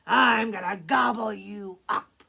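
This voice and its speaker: A woman doing a roaring, gravelly storybook troll. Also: mid-range voice, a crowd in the background. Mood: gruff. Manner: loud, slow, roaring growl. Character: greedy, selfish male bridge troll.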